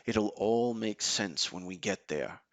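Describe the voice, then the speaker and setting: A man playing a commanding, fatherly leader of a superhuman team in an amateur audio drama, speaking in a measured, explanatory way. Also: fairly low pitch, dry recording, clean studio sound. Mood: calm, instructive.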